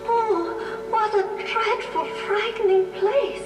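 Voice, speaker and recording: Anxious genteel lady mouse, very high voice, storybook record, music behind. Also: slow pace. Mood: dismayed. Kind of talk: children's read-along story acting.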